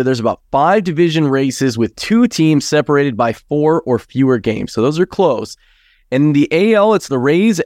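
A man hosting a casual sports podcast, slightly raspy from congestion and chatty, steering the talk with long questions. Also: mid-range voice, darkish tone, no echo, studio quality. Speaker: podcast host.

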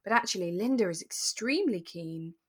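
A woman co-hosting a podcast in relaxed casual discussion, explaining things in a thoughtful, tentative way. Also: mid-range voice, brisk, breathy edge. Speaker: podcast co-host.

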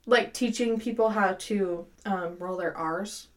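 A young woman co-hosting a casual podcast chat, animated and quick to laugh. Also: mid-range voice. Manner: laughs often, animated storytelling. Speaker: podcast co-host.

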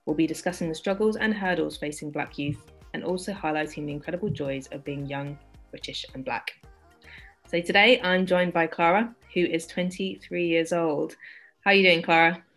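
A woman hosting an interview, asking relaxed, encouraging questions after a scripted introduction. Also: lowish voice, moderate pace, faint music behind. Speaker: podcast host.

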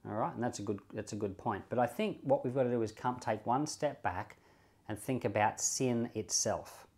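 Male Bible podcast teacher, medium pitch, informal and explanatory, reasons aloud and repeats key points with emphasis. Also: moderate pace, darkish tone. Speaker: pastor and Bible podcast host.

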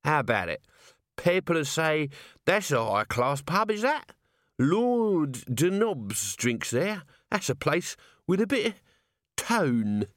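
A man voicing a drunk, swaying male watchman who boasts of his noble ancestry, in slurred, drawn-out speech. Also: a little high, very animated, a bit bright, dry recording, clean studio sound, voice pushed up, heavily acted. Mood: drunk boasting. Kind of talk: audiobook character voice.

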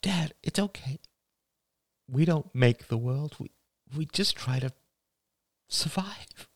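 A man's voice: a little high, animated, slow, no room sound, studio quality, voice pushed up. A man voicing a wounded adult daughter in an audiobook, murmuring and hesitant, distant in temper. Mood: consoling, resigned. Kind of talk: dramatic audiobook dialogue.

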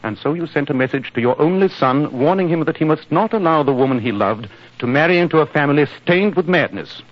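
An actor playing a brisk, self-assured British gentleman detective, clipped and authoritative, in 1940s radio style. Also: fairly high pitch, expressive. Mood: probing. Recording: dull 1940s radio recording.

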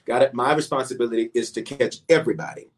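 A middle-aged male pastor and bishop preaching a livestreamed sermon, swinging from quiet asides to sudden emphatic peaks, repeating phrases and calling out to online viewers. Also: medium pitch, fairly even intonation, quick pace. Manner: talks directly to online viewers, calling for waves and shout-outs.

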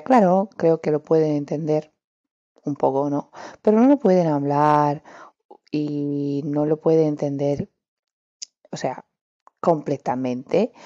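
Woman, slow and dark-voiced. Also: sits low, no room sound, dull audio. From Romania. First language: Romanian. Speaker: podcast host. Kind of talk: casual solo podcast, reflective.

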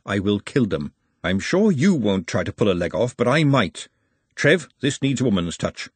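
A man voicing a blunt, bossy but caring working-class woman in a storybook, brisk and scolding. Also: fairly low pitch, very animated, husky, no room sound. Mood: firm, protective.

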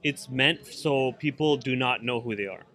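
Guest, man, earnest thinker with a bright, forceful voice. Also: medium pitch, moderate pace. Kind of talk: casual chat.

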